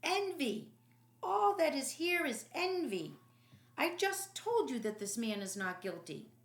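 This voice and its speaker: A woman voicing an exasperated, commanding Roman governor in a loud, emphatic, declamatory storybook manner. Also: high voice, big pitch swings, measured pace, echoey room, voice pushed up. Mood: angry, exasperated. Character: exasperated, commanding Roman governor, grown man, shouting judgments.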